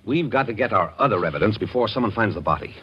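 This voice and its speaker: An actor playing a shrewd, wry, take-charge investigator in a 1940s radio thriller, on a muffled, noisy old recording. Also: male voice, fairly low pitch, expressive, slightly rough. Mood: grave, deliberate. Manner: measured, wry, directing others.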